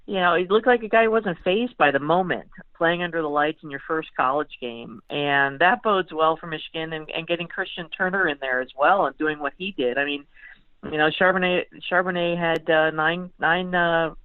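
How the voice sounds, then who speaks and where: A woman talks football as a guest sportswriter over a narrow, tinny phone connection. Her delivery is conversational and halting, with words repeated as she works toward her point. The line is dry, with no room sound. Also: low-pitched, dry recording. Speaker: sports beat writer guest. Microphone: phone call.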